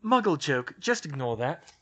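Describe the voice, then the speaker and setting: A man voicing a sharp young witch, high and biting. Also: very animated, moderate pace. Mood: blunt.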